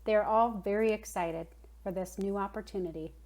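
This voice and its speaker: A woman speaking for a nonprofit, reading a scripted address to supporters in a measured, earnest way. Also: medium pitch, steady tone, darkish tone. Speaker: nonprofit spokesperson.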